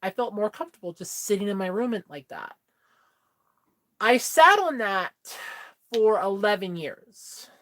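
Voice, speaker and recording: A woman's voice: mid-range voice, big pitch swings, unhurried, fairly bright tone. A woman in her thirties chatting candidly with viewers on a livestream, telling a personal story in a rambling way full of fillers. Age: 30s. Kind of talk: casual chat with viewers.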